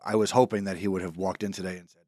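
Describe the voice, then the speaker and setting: A man's voice: deep voice, little pitch movement, very fast, darkish tone, dry recording. Easygoing adult man co-hosting, dry sound. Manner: easygoing, keeps the show moving. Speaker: teacher co-host of a school morning show. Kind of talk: casual studio chat.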